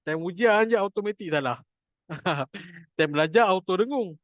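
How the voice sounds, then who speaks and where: A man's voice: high-pitched, expressive, normal speed, dry recording, lo-fi sound. A man with a Malaysian accent teaching an informal religious class, chatty and jokey, repeating his points for emphasis. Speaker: Quran recitation teacher.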